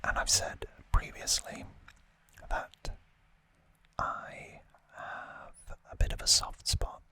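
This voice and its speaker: Slow, breathy whispering man. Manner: hushed, unhurried, deliberate. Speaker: ASMR host. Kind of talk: whispered ASMR unboxing talk.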